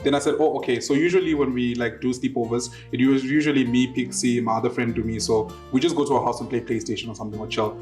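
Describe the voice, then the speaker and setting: A man's voice: medium pitch, fast, hardly any pauses, faint music behind. Playful young man telling a story. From Zimbabwe and South Africa. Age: 20s. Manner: playful, animated, upbeat. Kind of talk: casual interview storytelling.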